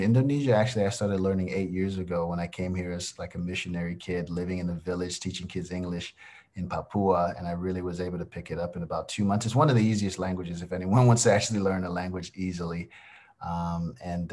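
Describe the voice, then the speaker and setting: A young man from Nigeria, a native Yoruba speaker, joining an interview over a video call. His voice is fairly low, and he talks with lively, playful energy, dropping slang and laughing often. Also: fast talker. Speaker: guest. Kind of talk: casual interview. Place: home in Bali.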